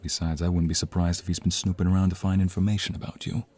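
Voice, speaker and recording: A man's voice: sits low, little pitch movement, quick pace, warm tone, breathy edge. A man playing a protective mobster's son in an ASMR roleplay drama, intimate and earnest, able to turn menacing. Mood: tender, worried. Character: protective young mobster's son, tender lover, menacing when threatened.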